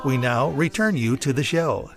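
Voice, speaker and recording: A man's voice: medium pitch, expressive, moderate pace. A man reading a formal station announcement as a radio announcer. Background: music.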